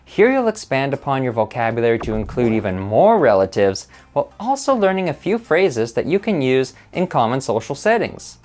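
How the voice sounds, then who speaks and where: A narrator reading a scripted intro in a slow, measured, inviting way. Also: medium pitch, sing-song, darkish tone. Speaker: channel narrator. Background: faint music.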